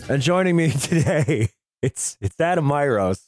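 A middle-aged man with a hoarse voice, casually bantering and swearing as a podcast host. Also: fairly high pitch, expressive, a little slow, loud music behind the voice.

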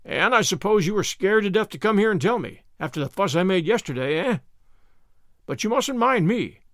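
A man voicing a brusque but kindly old farmer in a storybook reading, brisk, blunt and folksy. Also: high-pitched, expressive, a bit bright, dry recording, clean studio sound, voice pushed up.

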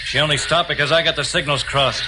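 A man's voice: a little high, steady tone, quick pace, natural voice. A man acting a cold-blooded, taunting killer in a 1950s radio crime drama. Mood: menacing. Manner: threatening, taunting. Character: menacing cold-blooded male killer, gloating. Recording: noisy old 1950s radio recording.

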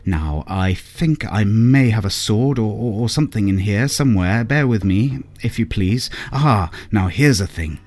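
A man's voice: fairly low pitch, lively intonation, a little slow, hardly any pauses, a little mellow, pitched above the performer's own voice. A man voicing a little old male peddler who pleads and wheedles, stumbling over his words. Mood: wheedling.

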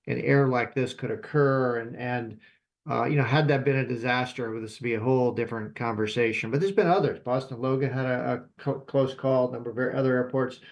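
Man giving halting expert commentary. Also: mid-range voice. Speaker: expert guest, aviation professor. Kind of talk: informal interview commentary.

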